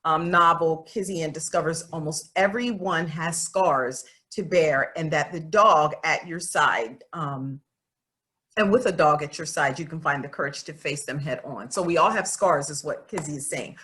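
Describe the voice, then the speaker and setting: A woman in her fifties reading aloud for children, pausing to explain the story and address her listeners directly. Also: sits low, fairly bright tone. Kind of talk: read-aloud with explanatory asides to young listeners.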